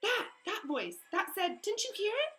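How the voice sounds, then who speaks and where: A woman's voice: very high voice, big pitch swings, normal speed, a bit bright, faint music behind, voice pushed up, full character voice. A woman voicing an anxious, miserable schoolboy wizard hero in a storybook, earnest and urgent. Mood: frantic.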